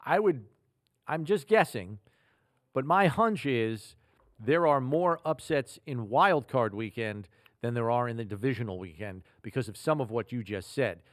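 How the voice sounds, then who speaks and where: A man's voice: mid-range voice, lively intonation, measured pace, dry recording. Man hosting a football podcast, close dry sound. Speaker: sports podcast host. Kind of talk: football analysis talk.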